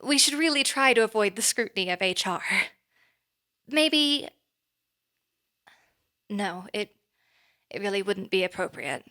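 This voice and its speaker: A woman playing a poised, reserved boss in an audio drama, speaking formally and in a measured way. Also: mid-range voice, very animated, pushed and bright. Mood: hesitant, fond.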